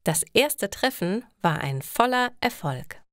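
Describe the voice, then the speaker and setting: A woman reading a scripted story for language learners in a measured, careful way. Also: mid-range voice, very animated, a bit bright, dry recording, clean studio sound. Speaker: narrator.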